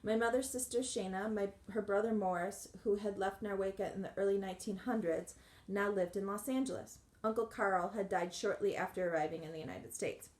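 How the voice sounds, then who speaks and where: A woman reading a memoir aloud in a measured, earnest way, with occasional restarts. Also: medium pitch, steady tone, a little mellow, echoey room. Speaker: audiobook reader.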